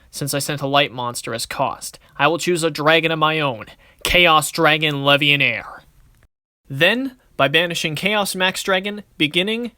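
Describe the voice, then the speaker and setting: A man's voice: a little high, sharp tone, no echo, studio quality. A man playing a cocky card duelist in an anime-style series, speaking in a theatrical, smug, declamatory way. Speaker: voice actor in a fan dueling series. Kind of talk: anime-style dramatic battle dialogue.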